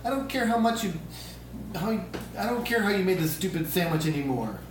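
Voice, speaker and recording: Woman as an indignant young boy, animated, echoey. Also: medium pitch, brisk, natural voice. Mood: indignant, pleading. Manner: whiny, protesting. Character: plaintive, indignant nine-year-old boy. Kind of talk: amateur script table read. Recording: echoey room, distant mic, hiss.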